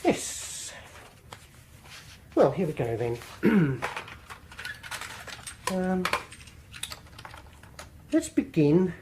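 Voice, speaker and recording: A man's voice: a little high, wildly swooping pitch, very slow, long pauses, exaggerated voice. A solicitous British man who hosts music gatherings in a radio horror play. He is courteous but fussy and precise, often hesitating as he speaks. There is faint noise and clicking on the recording. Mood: hesitant, coaxing. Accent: British English. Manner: polite, hesitant, fussily precise. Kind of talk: radio horror drama acting.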